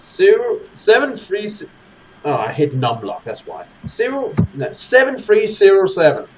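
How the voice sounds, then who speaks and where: A man hosting a gaming let's play, chatting casually to his audience and reading game text aloud in an animated way. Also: sits high, unhurried, slight background noise, rough recording.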